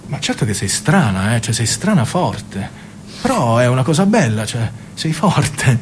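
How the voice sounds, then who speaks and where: An actor playing a smooth-talking young man, a coaxing charmer in a radio play. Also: mid-range voice, very animated, unhurried, slight background noise. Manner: coaxing, wheedling, with sudden laughs.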